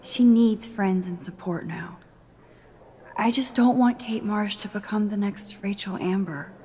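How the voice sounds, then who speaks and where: An actress playing a shy, caring young student in a video game, in a naturalistic style. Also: female voice, mid-range voice, fairly even intonation, unhurried, warm tone, slightly rough. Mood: worried, earnest. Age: young adult. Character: earnest young female student, quietly caring, a bit shy.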